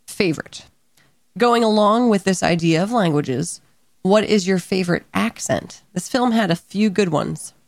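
A woman's voice: mid-range voice, lively intonation, normal speed, vocal fry, no room sound, studio quality. A woman co-hosting a podcast, reading out quiz questions in an upbeat, casual way with frequent laughter. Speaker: podcast co-host asking the questions. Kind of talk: casual chat.

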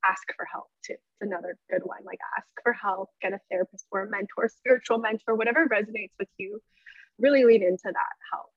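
A woman guest on a podcast, talking in a bubbly, energetic way about dance and spirituality, with many "like" fillers. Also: medium pitch, brisk, dry recording, dull audio. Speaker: podcast guest, workshop leader. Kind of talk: casual spiritual chat.

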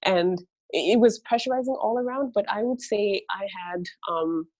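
A woman's voice: mid-range voice, normal speed, a little mellow, no echo, lo-fi sound. A young woman who grew up in Singapore, answering interview questions in a chatty, earnest way with lots of "you know". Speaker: guest, university lecturer.

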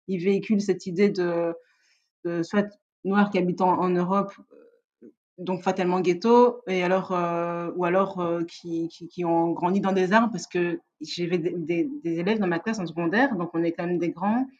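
A woman, telling personal stories casually and candidly as an interview guest, often halting to rephrase. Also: mid-range voice, muffled recording. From Belgium. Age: 30s. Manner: candid, informal, halting with self-corrections.

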